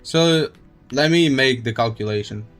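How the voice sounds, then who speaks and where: A man's voice: mid-range voice, measured pace, fairly bright tone, faint music behind. A man doing casual livestream commentary on a game, with a crude, slangy, joking manner.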